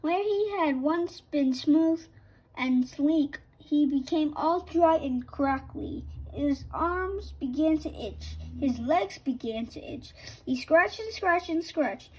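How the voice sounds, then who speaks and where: Female storybook narrator for children: very high pitch, unhurried, a little halting. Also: lively intonation, a little mellow, low-quality recording.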